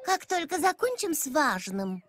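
High-pitched bossy little zebra girl. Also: big pitch swings, moderate pace, heavy background noise. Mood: lecturing. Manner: lecturing, insistent. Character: bossy, earnest young female zebra girl. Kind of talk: animated children's cartoon acting. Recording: music under the voice.

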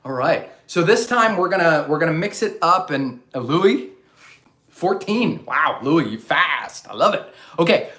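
A man in his thirties coaching a live online gym class for kids from his living room, calling out energetic commands and countdowns. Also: on the high side, unhurried. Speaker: fitness coach. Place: bright living room, indoors. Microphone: camera across the room. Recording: echoey room.

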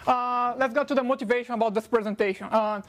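A man from Brazil with a Brazilian Portuguese accent, a non-native English speaker giving a fast technical conference talk with many filler sounds into a handheld mic in a lecture hall. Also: very high-pitched, fairly even intonation. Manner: fast-talking with frequent uh fillers.

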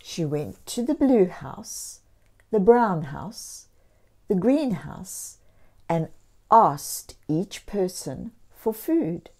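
A woman storyteller reading a picture book aloud to young children, expressively and deliberately, with encouraging asides. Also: fairly low pitch, big pitch swings, a little mellow. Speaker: children's storyteller and channel host.

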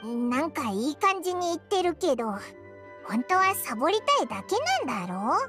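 A woman voicing a small, cheeky floating fairy companion in a game dub, with a high, squeaky childlike voice and a blunt, teasing way of talking. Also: sing-song, normal speed, fairly bright tone, soft background music. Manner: blunt, teasing, says what she thinks.

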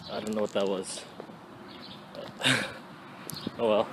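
Casual young man vlogging over loud noise. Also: lowish voice, flat delivery, slow. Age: 20s. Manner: slangy, swearing. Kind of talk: casual rambling vlog chat. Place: outdoors on residential streets. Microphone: phone at arm's length. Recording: noisy handheld phone recording.

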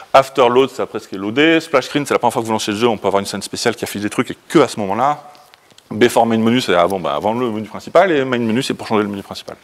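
A man, giving an informal technical talk as a conference speaker, in a casual, colloquial, hurried manner. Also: on the low side. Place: indoor presentation room, standing by a projection screen.

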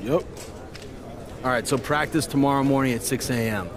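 A breezy basketball staffer, acted by a man in a game cutscene. He is joking and casual. Clear ambient noise surrounds the voice. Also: medium pitch, fairly even intonation, moderate pace, natural voice. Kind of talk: naturalistic video game cutscene acting. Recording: noisy background ambience.

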